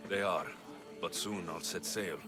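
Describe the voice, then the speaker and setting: A resolute mercenary hero. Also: male voice, low-pitched, a little slow, a crowd in the background. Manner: steady, rising to rousing shouts. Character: Greek mercenary hero, young adult man, resolute. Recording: game cutscene with music behind.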